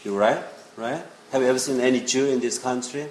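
An older man preaching informally in halting, English, often checking in with his listeners. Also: mid-range voice, a little mellow. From South Korea. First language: Korean. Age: 50s or older. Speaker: visiting preacher and seminary teacher. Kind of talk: informal sermon to young people. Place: indoor church room. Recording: echoey room with faint noise.

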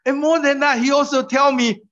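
A man whose first language is Mandarin gives a halting testimony sermon, pausing for an interpreter and using many fillers and restarts. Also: extremely high pitch, steady tone, a bit bright. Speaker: preacher sharing testimony. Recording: dull muffled recording.

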